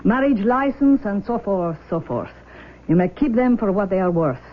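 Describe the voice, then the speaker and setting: An actress playing a formal, imperious mother in a 1970s radio drama, speaking in a haughty, deliberate manner. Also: mid-range voice, lively intonation, close to an everyday voice. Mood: assertive, controlled. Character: formal, imperious Russian mother demanding her daughter. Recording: dull vintage radio broadcast.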